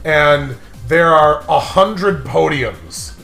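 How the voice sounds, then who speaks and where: Animated, higher-voiced man riffing casually. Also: extremely slow, creaky, roomy sound, faint music behind. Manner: giggly, rambling storyteller of absurd hypotheticals. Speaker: podcast co-host. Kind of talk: casual comedic banter.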